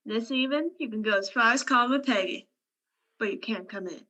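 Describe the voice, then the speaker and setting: A woman's voice: a little high, a little fast, sharp tone. A woman playing a brazen, fragile young liar in a radio crime drama. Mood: taunting, playful. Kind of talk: old-time radio crime drama acting.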